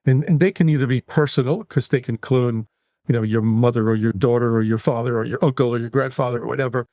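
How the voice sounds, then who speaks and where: A man in his sixties from the United States with a New York accent, answering podcast interview questions in long, animated run-on sentences. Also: medium pitch, quick pace, no room sound, studio quality. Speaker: guest psychologist.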